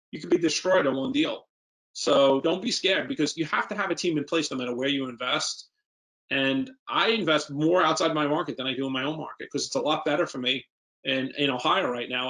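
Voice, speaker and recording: A man's voice: a little high, a bit bright, low-quality recording. A man with a New York accent, an investor speaking informally as a guest and answering questions in an emphatic, plain-spoken way.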